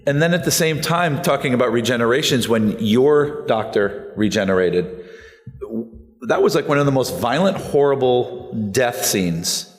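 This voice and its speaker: A man's voice: mid-range voice, animated, normal speed, soft background music. A man moderating a live panel, asking questions in a casual, conversational way. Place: large convention hall. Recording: reverberant hall PA sound.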